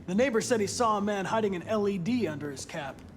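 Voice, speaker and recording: Young android cop in a game, formal n precise, kinda high male voice, noisy background. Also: lively intonation, quick pace. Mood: composed, matter-of-fact. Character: polite young male android detective, earnest and analytical. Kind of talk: video game acting.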